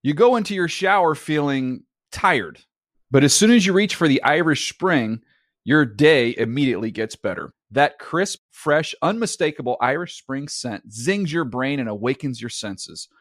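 A man's voice: animated, normal speed, fairly bright tone, no room sound. Male announcer, upbeat commercial read, dry close mic. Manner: smooth, upbeat pitch. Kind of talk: scripted ad read.

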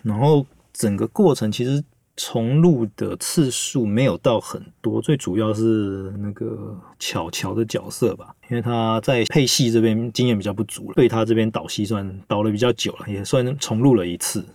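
A man's voice: on the low side, normal speed, creaky, a little mellow, no echo, clean studio sound. A man with a Taiwanese Mandarin accent, chatting casually as a podcast host and director about audio drama production.

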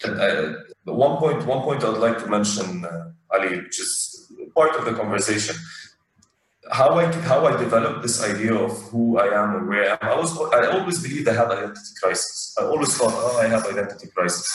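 A man's voice: on the low side, lively intonation, fairly quick, a bit bright, very echoey, far from the mic, slight background noise, low-quality recording. A young man from Abu Dhabi, a guest in a casual talk, thinking aloud and repeating words as he goes. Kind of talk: casual conversational interview.

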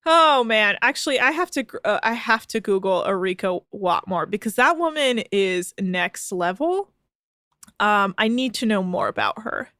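A woman's voice: mid-range voice, lively intonation, normal speed, a bit bright, no room sound, clean studio sound. Amused woman reading posts aloud. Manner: amused, incredulous, laughing. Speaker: podcast co-host.

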